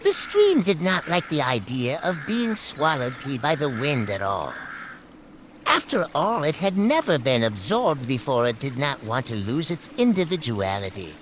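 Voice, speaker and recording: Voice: mid-range voice, sing-song, normal speed, background noise. A storyteller reading a folk tale aloud in a theatrical way, stretching words for emphasis.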